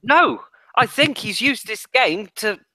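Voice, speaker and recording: A man's voice: very high-pitched, expressive, slow, pushed and bright, no echo, poor audio. A young man ranting casually about football in an exasperated, sweary way, speaking into a headset on a video call. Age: young adult. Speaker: podcast panellist.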